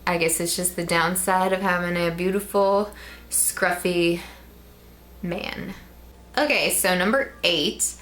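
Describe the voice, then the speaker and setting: A woman's voice: lowish voice, steady tone, slow, a bit bright. A woman in her twenties with a Midwestern American accent, chatting casually to camera in a rambling way with frequent ums. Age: 20s. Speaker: vlogger.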